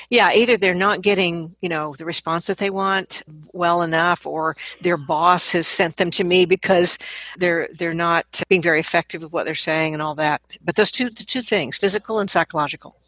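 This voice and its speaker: A middle-aged woman, a vocal coach, explaining things conversationally as an interview guest, in an animated manner. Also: on the low side, moderate pace, no room sound, rough recording.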